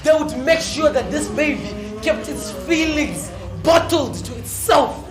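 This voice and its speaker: A woman narrating a scripted fable theatrically as a stage storyteller, deliberate and dramatic, with repetition and long pauses. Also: sits high, distant mic. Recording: echoey stage recording from afar, music behind.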